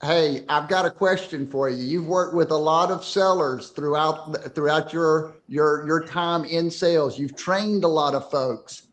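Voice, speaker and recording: A man's voice: a little high, measured pace, few pauses, fairly bright tone. A man hosting a casual talk show, upbeat and affirming as he asks open questions. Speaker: host.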